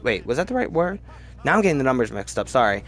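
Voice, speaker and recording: A man's voice: medium pitch, big pitch swings, quick pace, fairly bright tone. A man hosting a casual, opinionated film ranking video, rambling as he goes and often repeating words for emphasis. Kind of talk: casual opinionated movie commentary.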